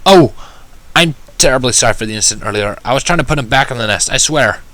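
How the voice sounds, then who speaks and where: A man's voice: mid-range voice, lively intonation, fast, a bit bright, dry recording, close to an everyday voice. Man voicing a timid, apologetic little storybook pony.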